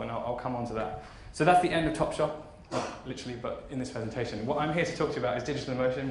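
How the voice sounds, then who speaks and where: A man aged thirty giving an informal, enthusiastic conference keynote in a conversational way. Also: mid-range voice. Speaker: keynote speaker, fashion marketing executive. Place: large conference auditorium stage. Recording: roomy hall echo, faint background noise.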